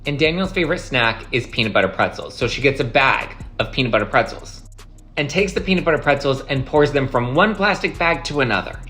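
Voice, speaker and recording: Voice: mid-range voice, expressive, brisk, sharp tone, faint music behind. A speaker recounting a scene in a wry way.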